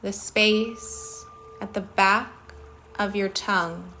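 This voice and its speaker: A woman leading a guided body-scan meditation in a soothing manner, speaking in short phrases with long pauses between them. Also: mid-range voice, fairly even intonation, bright, forceful tone, a little hiss or hum. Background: singing bowls.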